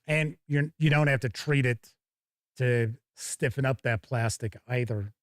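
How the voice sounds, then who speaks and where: A man's voice: medium pitch, unhurried, dry recording, studio quality. A man hosting a tabletop gaming show, giving chatty, casual live commentary as he looks over products and talks with viewers.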